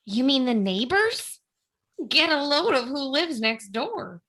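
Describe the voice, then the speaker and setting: A woman's voice: sits high, sing-song, slow, fairly bright tone, full character voice. A woman playing a jittery, wisecracking burglar, the younger of two bickering sisters, in a comic radio play. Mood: nervous, wisecracking. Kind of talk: comic old-time radio play acting.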